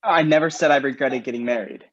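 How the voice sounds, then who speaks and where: A man's voice: mid-range voice, fast talker, natural voice. A man reading the part of a sarcastic groom on his wedding day in a staged play reading over a video call. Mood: angry. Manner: sharp, sarcastic, swears often. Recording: muffled video call audio.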